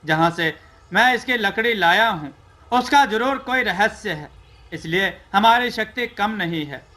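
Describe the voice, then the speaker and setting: A man's voice: high voice, animated, measured pace, pushed and bright, heavily acted. A man voicing a confident young storybook prince with an earnest, declamatory delivery. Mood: confident, resolute. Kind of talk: storybook character dialogue.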